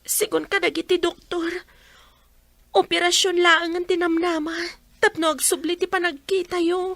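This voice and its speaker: A woman's voice: extremely high pitch, little pitch movement, moderate pace, a bit bright, no echo. A woman playing a dutiful young daughter caring for her ailing mother in a Filipino radio drama, with a Filipino accent. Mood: worried, urgent.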